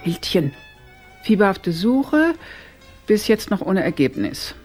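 A firm, commanding ringleader in a radio crime play. She speaks precisely at a middle pitch, with faint music behind. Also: very animated, slow, a little mellow. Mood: matter-of-fact. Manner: precise, matter-of-fact, firm. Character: brisk, commanding woman leading an amateur gang. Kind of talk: radio crime drama acting.

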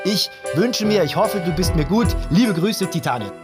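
Woman acting female radio co-host, low pitch, gushing, earnest, slangy casual banter, loud music behind. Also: expressive, close to an everyday voice. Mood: emphatic. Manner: halting, repetitive, slangy, emphatic agreement.

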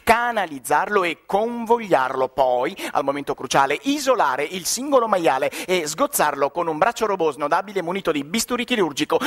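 Bright, creaky declamatory theatre narrator. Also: mid-range voice. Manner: declamatory, exclamatory, mock-epic. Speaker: actor narrating. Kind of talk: theatrical satirical radio storytelling.

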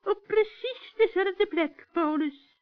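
Man putting on a scheming old witch woman, really shrill high voice, wheedling, netherlands dutch, muffled old radio play. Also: takes it slowly. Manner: cackling, wheedling, falsely sweet, stammering when flustered. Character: sly, scheming old female forest witch. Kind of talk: 1960s children's radio play acting. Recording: dull old radio recording.

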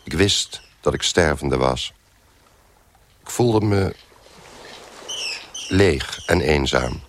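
Dazed, lonely man-turned-lobster, brooding radio-play narrator. Very low voice, unhurried delivery. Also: expressive, full character voice. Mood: forlorn. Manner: slow, brooding monologue. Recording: faint background noise.

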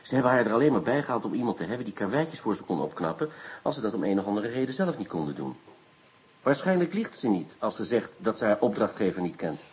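An actor playing an impatient police inspector in a radio play, brusque and given to repeating himself and cutting in. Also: male voice, on the low side, big pitch swings, quick pace, slightly rough, full character voice. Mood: musing, tense.

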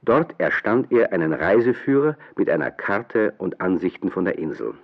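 A man's voice: low voice, sing-song, moderate pace. A man narrating a children's radio play, reading a scripted story. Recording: dull, lo-fi radio play recording.

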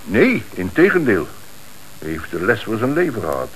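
An actor playing a hesitant, formal bank manager under questioning in a radio play. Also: male voice, lowish voice, wildly swooping pitch, moderate pace, rough recording. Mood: earnest, hesitant. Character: troubled, lenient male bank manager under police questioning. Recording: hissy old radio play recording.

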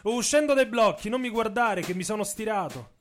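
A man's voice: high-pitched, animated, normal speed, a bit bright, voice pushed up. A man voicing an urgent, insistent male athlete in a radio theatre story. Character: insistent, excuse-making male Italian sprinter at the blocks.

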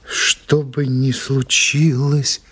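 An actor playing a dreamy, imaginative writer in an audio drama, theatrically musing aloud with drawn-out pauses. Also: male voice, mid-range voice, little pitch movement, slightly rough, full character voice. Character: Anthony, dreamy imaginative male writer and collector. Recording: loud music under the voice.

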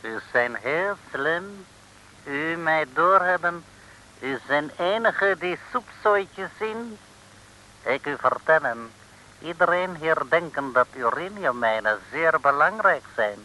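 A pompous, piercingly bright scheming ruler. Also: male voice, on the high side, expressive, slow pace, slight background noise, exaggerated voice, rough recording. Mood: crafty, smug. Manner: pompous, ceremonious pidgin speech. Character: pompous, scheming Chinese island ruler, broadly caricatured. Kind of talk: 1950s Dutch comic radio serial acting. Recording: bright, old radio serial recording.

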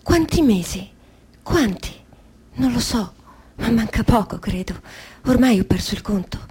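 An actress playing an anxious, confined woman in a radio drama, speaking in hesitant fragments with a breathy, husky voice. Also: on the high side, expressive, measured pace, a little mellow, slight background noise. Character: anxious confined woman facing a frightening decision. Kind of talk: radio drama inner monologue.

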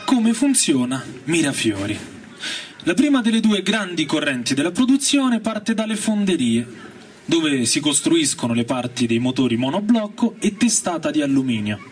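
A man's voice: high voice, distant mic, slight background noise. A man giving an emphatic, colloquial dramatic reading as part of a radio theatre performance. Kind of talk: dramatic reading of a militant workers' novel over music. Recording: voice set back under loud guitar. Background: loud electric guitar and organ music.

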